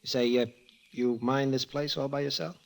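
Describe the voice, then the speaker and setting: An actor playing a slippery, charming young racetrack tout with slangy, evasive talk in an old-time radio drama. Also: male voice, mid-range voice, fairly even intonation, moderate pace, gravelly, close to an everyday voice. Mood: guarded. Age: young adult.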